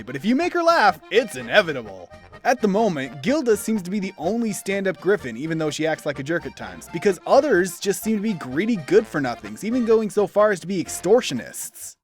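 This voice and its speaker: A man narrating a scripted review as host in a theatrical, opinionated manner. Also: fairly high pitch. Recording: background music behind voice. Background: instrumental music.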